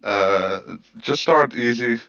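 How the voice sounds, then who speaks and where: A man's voice: mid-range voice, slow, a bit bright, muffled recording. A man hosting a crypto community chat on a voice call, easygoing and rambling, with many fillers. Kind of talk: casual chat.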